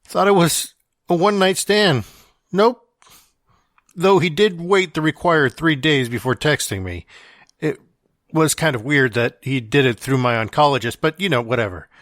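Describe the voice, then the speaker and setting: A man's voice: fairly high pitch, normal speed, a bit bright, no echo, studio quality, natural voice. A man playing a wry, confessional dying man reading out his farewell letter in an audio drama. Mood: wry amusement. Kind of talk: dramatic monologue in fiction podcast.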